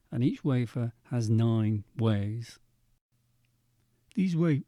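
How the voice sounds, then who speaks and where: A man with a soft-edged, mellow voice presents a technical walkthrough at medium pitch. He explains step by step in a measured, methodical manner. The sound is dry and close, with no room around it. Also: dry recording. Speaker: presenter demonstrating vintage radio equipment. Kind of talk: instructional demonstration narration.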